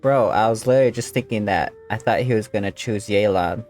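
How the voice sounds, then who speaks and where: A woman's voice: very deep voice, brisk, a little mellow. A woman hosting a gaming video, giving casual, slangy gameplay commentary and reactions. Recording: game music behind the voice.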